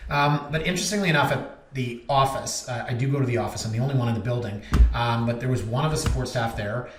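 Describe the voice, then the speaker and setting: Brief: realtor in his thirties, chatty and self-mocking, mid pitch, recorded in a roomy space. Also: quick pace, a bit bright, a little hiss or hum. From Canada. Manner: chatty, joking, self-deprecating. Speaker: realtor and vlog host. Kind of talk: casual rambling talk to camera. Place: office.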